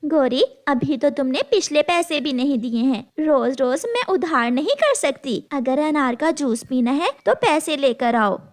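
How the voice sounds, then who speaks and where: A woman's voice: sits high, lively intonation, measured pace, hardly any pauses. A woman voicing a firm, honest little female bird who sells juice in a children's cartoon, speaking matter-of-factly.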